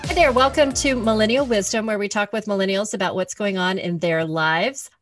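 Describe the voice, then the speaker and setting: A woman's voice: mid-range voice, a little fast, faint music behind. A woman hosting an interview podcast in an upbeat, encouraging, conversational way. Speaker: podcast host.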